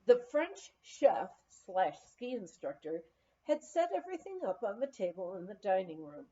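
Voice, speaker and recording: Woman reading an audiobook memoir, soft mellow tone, really animated pitch, kinda dull lo-fi sound. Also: moderate pace. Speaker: audiobook reader. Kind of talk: expressive memoir reading, storytelling.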